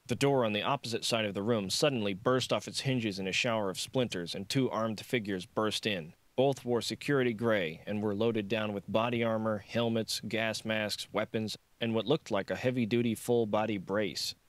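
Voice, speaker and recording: A man narrates a thriller novel as an audiobook. His voice is on the low side. The recording is dry and close, with the scripted delivery of a storyteller. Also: steady tone, moderate pace, few pauses, dry recording.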